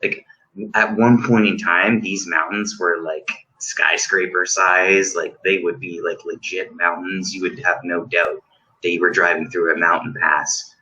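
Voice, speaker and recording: A man's voice: sits low, steady tone, a little slow, bright, forceful tone, dull audio. A man talking casually as a guest musician, rambling with many fillers and restarts. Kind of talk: casual chat.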